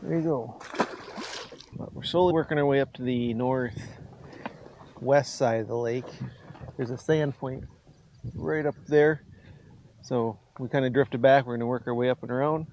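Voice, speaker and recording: A man in his forties hosting a casual fishing vlog from a boat on a lake, chatting excitedly to the camera. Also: medium pitch, moderate pace, long pauses, highs cut off. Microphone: action camera on the boat. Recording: wind and water noise. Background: wind and water noise.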